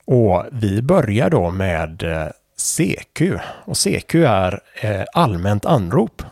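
A man's voice: fairly low pitch, sing-song, darkish tone, no room sound. Man patiently teaching Morse procedure. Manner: unhurried, many fillers, spells out letters and call signs. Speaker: amateur radio instructor. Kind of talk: explaining Morse code procedure.

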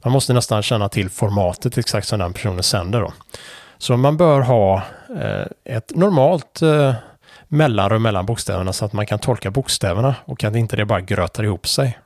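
A man, explaining amateur radio Morse code procedure in an unhurried way, with many fillers. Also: on the low side, a little mellow, no echo, clean studio sound. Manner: unhurried, many fillers, spells out letters and call signs.

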